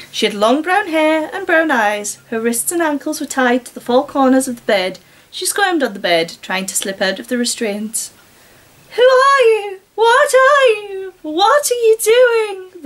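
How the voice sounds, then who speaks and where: A woman's voice: sits high, normal speed. A woman reading a story aloud and breaking off for casual, sarcastic commentary. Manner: wry, sarcastic asides.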